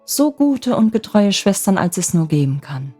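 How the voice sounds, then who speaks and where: A woman voicing a devoted, excitable young housekeeper in a storybook fairy tale, speaking eagerly and emphatically. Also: mid-range voice, normal speed, pitched above the performer's own voice. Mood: surprised, earnest. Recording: music bed under the voice.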